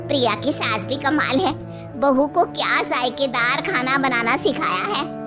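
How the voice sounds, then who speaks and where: A gossipy middle-aged lady guest voiced by a woman in an animated family tale. She speaks slowly in a fairly high voice, effusive and teasing, while music plays clearly behind her. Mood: delighted praise. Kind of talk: animated Hindi moral story voice acting.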